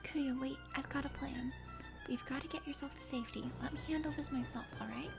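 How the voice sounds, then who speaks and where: A woman's voice: sits high, fairly even intonation, a little fast, vocal fry. A woman voicing an earnest, brave young swordswoman in a fantasy dating game, eager and easily flustered. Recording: music behind.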